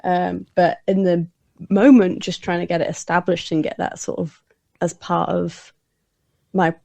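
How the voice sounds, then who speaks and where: Gravelly-voiced woman with an accent. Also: lowish voice, flat delivery, a little slow, mellow, no room sound. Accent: non-American English accent. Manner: candid, self-deprecating, many "like"s. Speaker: podcast guest, fellow podcaster. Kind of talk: casual conversation.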